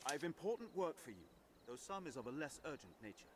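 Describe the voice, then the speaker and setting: An actor playing a solemn, formal officer handing out quests in a fantasy video game, speaking in measured archaic phrases. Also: male voice, a little high, very animated, background noise. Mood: solemn, businesslike. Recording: loud game music behind.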